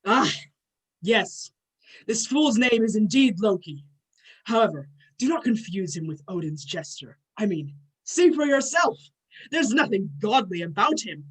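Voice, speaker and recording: A woman's voice: medium pitch, very animated, a little slow, breathy edge, poor audio. A woman playing a courteous but short-tempered male god with formal, grandiose speech in a play reading. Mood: explaining.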